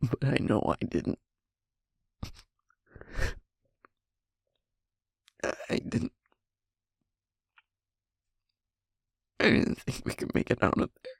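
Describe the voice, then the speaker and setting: A man playing a guilt-ridden young werewolf boyfriend in an intimate audio roleplay, speaking haltingly and rawly in a husky, creaky, breathy voice. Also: medium pitch, huge pitch swings, no room sound, studio quality, exaggerated voice. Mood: sorrowful, raw. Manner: halting, emotionally raw, broken-up phrases.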